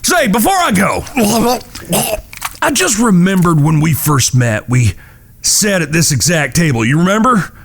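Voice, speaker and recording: A man playing a vengeful, coldly taunting gunslinger in a Western audio drama, with a drawl, husky and profane. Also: a little high, sing-song, unhurried, a bit bright, full character voice.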